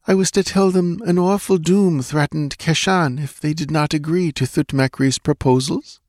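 A man's voice: on the high side, moderate pace, a little mellow, dry recording, studio quality, voice pushed up, close to an everyday voice. A man voicing a terrified young dancing girl in an audiobook, with a whimpering, pleading, breath-catching delivery. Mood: fearful confessing. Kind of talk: dramatised audiobook character voice.

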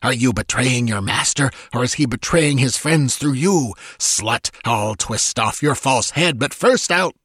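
A huge villainous priest from a sword-and-sorcery story, acted out by a male narrator. His voice is husky and rough, hissing with fury and menace. The sound is close and dry. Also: medium pitch, expressive, measured pace, few pauses, a bit bright, no room sound, voice pushed up. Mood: furious menace. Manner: hissing, threatening.

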